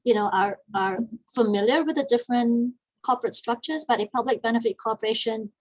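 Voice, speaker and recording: Woman from malaysia in her 40s chatting on a podcast, kinda high voice, laughs a lot, dead dry sound. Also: fairly quick, no room sound, poor audio. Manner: chatty, laughs easily, many restarts. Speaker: guest, business founder. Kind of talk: casual interview conversation.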